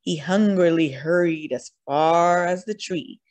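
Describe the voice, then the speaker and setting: A woman reading a children's storybook aloud as an expressive narrator. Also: on the low side, measured pace. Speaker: storybook reader.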